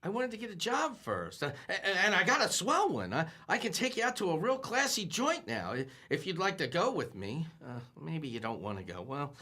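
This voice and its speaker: A nervous, bumbling young man stammers and restarts as he tries to impress, his bright voice bouncing widely in pitch. It is classic old-time radio comedy acting. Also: huge pitch swings, fairly quick, few pauses, full character voice. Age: young adult. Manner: stammering, hesitant.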